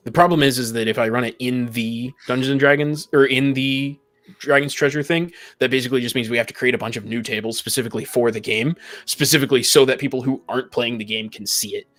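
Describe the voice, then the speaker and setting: A man's voice: mid-range voice, fairly even intonation, fairly quick, a bit bright. A talkative man in a casual group chat, stammering and repeating the starts of his phrases. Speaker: tabletop game master, podcast guest.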